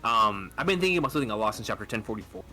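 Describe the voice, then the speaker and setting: A man talking casually and enthusiastically as a fan on a podcast, halting and rambling as he lays out his thoughts. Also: lowish voice, big pitch swings, pushed and bright, faint music behind. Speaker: podcast speaker discussing manga theories.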